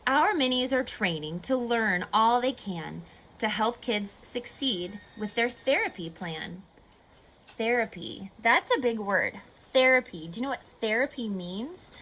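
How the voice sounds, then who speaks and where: A woman reading a picture book aloud to children like a teacher, expressively, pausing to explain and ask questions. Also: on the high side, a little hiss or hum, poor audio. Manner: expressive, instructive, asking questions of young listeners.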